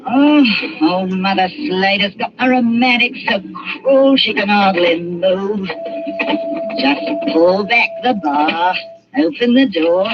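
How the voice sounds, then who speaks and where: A woman's voice: medium pitch, animated, takes it slowly, over music. A greedy old woman who trades in corpses. She nags, threatens and wheedles in a coarse voice. The sound is dull and muffled, like an old radio play. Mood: scolding. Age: older. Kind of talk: 1940s radio acting.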